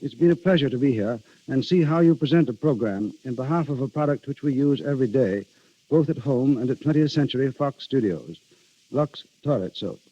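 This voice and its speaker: A man speaking as a guest writer in a scripted 1930s radio interview, formal and measured. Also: medium pitch, expressive. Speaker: guest playwright. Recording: muffled 1930s radio broadcast.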